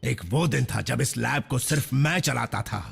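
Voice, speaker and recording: A man's voice: medium pitch, normal speed, vocal fry, over loud music. A man voicing a vain, sulky robot doctor in a cartoon dub, grumbling with theatrical sarcasm. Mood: indignant. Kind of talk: dramatic Hindi cartoon dub.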